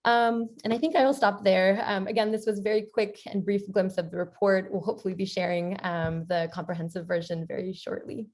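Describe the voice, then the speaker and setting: A woman's voice: mid-range voice, fairly even intonation, brisk, hardly any pauses, darkish tone. A woman presenting on a panel as a programme director, hesitant, with frequent ums in the middle of her sentences.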